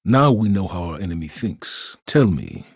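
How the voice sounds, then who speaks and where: An actor playing a stern, weary commander in a video game, speaking gravely and formally in measured phrases. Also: male voice, deep voice, huge pitch swings, dry recording, studio quality, full character voice. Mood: stern, guarded. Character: stern, weary, dutiful male military commander. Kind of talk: dramatic video game voice acting.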